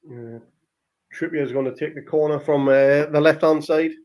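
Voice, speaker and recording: A man's voice: fairly high pitch, steady tone, moderate pace, darkish tone. A man hosting a live football watchalong, giving casual, partisan commentary on play as it happens, with exclamations. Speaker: football watchalong podcast host.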